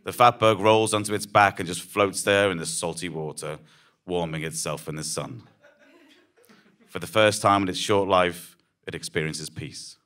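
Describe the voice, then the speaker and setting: A man's voice: low voice, moderate pace, fairly bright tone. A man reading a scripted story live on stage as dramatic narration, grave and emphatic. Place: on a small theatre stage before a seated audience. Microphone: stand microphone.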